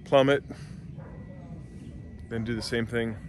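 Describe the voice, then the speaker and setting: A man's voice: medium pitch, slow, a little hiss or hum. A man in his thirties chatting casually in a vlog, speaking into a phone held at arm's length outdoors. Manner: relaxed, offhand check-ins. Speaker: vlogger on a building project. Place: outdoors on a hillside site and road.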